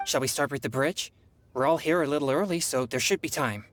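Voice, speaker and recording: Low-voiced, friendly young adventurer. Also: female voice, a little fast, a little hiss or hum. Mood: friendly, calm. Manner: friendly, straightforward. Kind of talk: video game cutscene acting.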